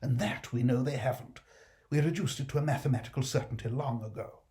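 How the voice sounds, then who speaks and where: A man playing a fussy, indignant economist who scolds in sharp high outbursts. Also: fairly even intonation, quick pace, natural voice.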